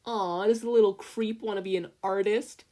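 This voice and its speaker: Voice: medium pitch, steady tone, normal speed, darkish tone, voice pushed up, natural voice. A reader voicing a crowing, sickly-sweet teenage boy henchman who mocks his victim. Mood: gleeful mocking.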